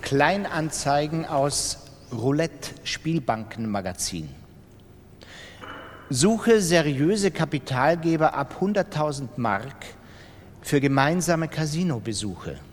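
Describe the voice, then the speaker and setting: A man giving a scripted reading of classified ads as part of a radio drama. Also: fairly high pitch. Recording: long reverb, noisy background.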